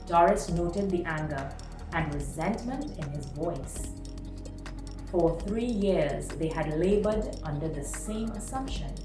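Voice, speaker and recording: A woman reading a short story aloud in a dramatic, expressive narrating style. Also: lowish voice, takes it slowly. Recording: roomy, distant mic, music behind. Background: music.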